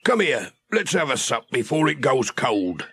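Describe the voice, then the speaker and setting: A man's voice: mid-range voice, big pitch swings, a bit bright, slightly rough, no echo, heavily acted. A man voicing a bumbling, self-important village policeman in a children's audiobook, with an officious manner. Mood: muddled, chatty. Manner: slow, officious, putting on a special policeman's voice. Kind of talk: children's audiobook character reading.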